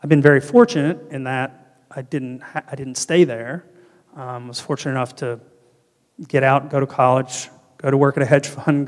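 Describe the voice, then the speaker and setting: A middle-aged man from North Carolina giving a conference keynote with a handheld mic, earnest and restarting often. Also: medium pitch. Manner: earnest, gestures broadly, frequent restarts and ums. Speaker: keynote speaker and panel guest. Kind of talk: conference keynote and fireside chat. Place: large hall on stage. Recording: hall reverb.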